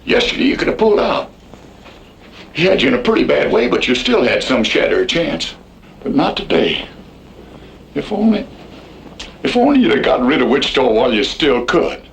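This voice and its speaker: An actor playing a folksy, fretful Western old-timer in a radio drama, with a gravelly drawl and an admonishing, pleading manner. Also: male voice, on the high side, very animated, normal speed, pauses often. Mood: exasperated, grim. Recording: faintly noisy old radio recording.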